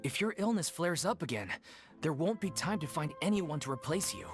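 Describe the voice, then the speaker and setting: A man's voice: a little high, lively intonation, a little fast, music in the background, natural voice. A man playing a worried, stern but conciliatory theatre troupe leader in a video game. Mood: stern, resigned.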